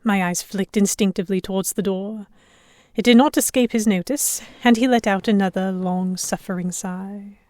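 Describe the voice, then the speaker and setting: A woman's voice: mid-range voice, steady tone, moderate pace, darkish tone, no echo, clean studio sound, natural voice. A woman playing a defiant, sheltered young lady held by pirates, narrating an audio drama in first person with articulate, literary phrasing. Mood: tense, alarmed. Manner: articulate, literary, reflective storytelling.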